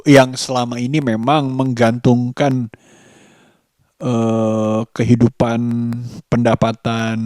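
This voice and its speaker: Guy from doing a daily vlog, talks slow n halting, thinks as he goes, dry sound. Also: mid-range voice, a little mellow, dry recording. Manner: halting, reflective, with long pauses mid-sentence. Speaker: vlogger. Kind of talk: casual spoken daily vlog monologue.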